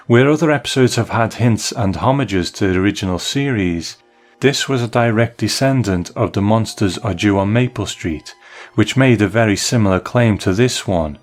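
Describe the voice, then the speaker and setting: A man hosting a podcast, reading listener emails aloud in a measured way. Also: on the low side. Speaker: podcast host.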